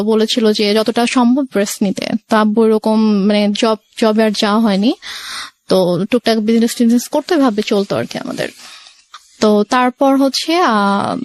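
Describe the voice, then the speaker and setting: A woman's voice: fairly high pitch, no room sound. A woman in her twenties with a Bangladeshi accent, telling her life story in a radio interview and mixing in occasional English words. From Sirajganj, Bangladesh. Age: 20s.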